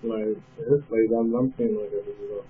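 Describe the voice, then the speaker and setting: A young man from Ghana, interviewing someone by phone in a laid-back, halting way. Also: lowish voice, fairly even intonation, slight background noise. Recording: tinny phone line.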